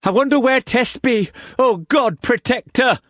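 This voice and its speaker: A frightened mother on a storm-tossed ship, her voice lifted high and thin, as a male reader puts her on. She speaks in a rural way. The sound is dry and close. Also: expressive, brisk, a bit bright, no room sound, natural voice. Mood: fearful.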